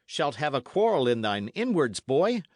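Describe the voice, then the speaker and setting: A man voicing a rough, commanding young squire who speaks forcefully in old-fashioned words. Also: mid-range voice, very animated, moderate pace, dry recording, studio quality, pitched above the performer's own voice. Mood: rough, impatient.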